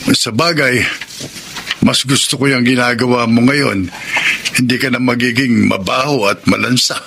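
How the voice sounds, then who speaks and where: An actor playing a proud, caring father with a gravelly voice and Filipino accent, in a radio drama. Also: medium pitch, steady tone, normal speed, sharp tone. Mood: earnest, approving. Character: proud, caring old father minding family honour. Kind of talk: Tagalog radio drama acting. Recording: clearly noisy radio drama track.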